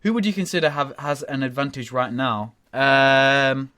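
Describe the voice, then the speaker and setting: A man with a cold commentating on a strategy game in a casual, rambling way, sounding nasal and often pausing to correct himself. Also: mid-range voice, sharp tone, dry recording. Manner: rambling, with frequent ums and self-corrections.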